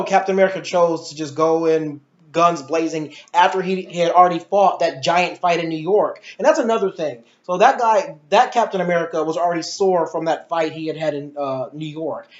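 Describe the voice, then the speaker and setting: A man giving casual, opinionated film commentary in an emphatic, ranting way full of rhetorical questions. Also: fairly high pitch. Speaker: movie reviewer. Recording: muffled, echoey room recording.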